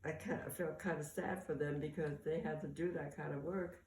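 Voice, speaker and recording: An older woman, a mother casually sharing family memories in a halting, conversational way. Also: deep voice, steady tone, darkish tone. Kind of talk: casual oral-history reminiscence. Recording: echoey, distant mic, faint room noise.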